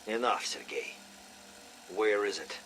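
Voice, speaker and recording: Very deep, stern intelligence agent. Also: male voice, lively intonation, takes it slowly, a bit bright, a little hiss or hum, low-quality recording. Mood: firm, impatient. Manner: terse, businesslike. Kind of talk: cinematic video game voice acting.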